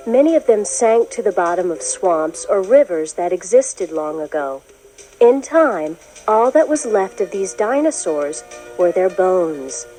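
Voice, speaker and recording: Lively, unhurried woman reading a children's book. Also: mid-range voice, rough recording. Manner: clear, measured, explanatory reading for children. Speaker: narrator of a children's educational read-along book. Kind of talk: scripted storybook narration.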